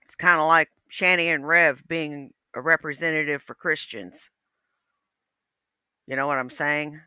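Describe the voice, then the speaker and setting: A woman's voice: deep voice, steady tone, measured pace, sharp tone, clean tone, no room sound, studio quality. A woman in her fifties, blunt, profane and mocking in casual livestream chat. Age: 50s.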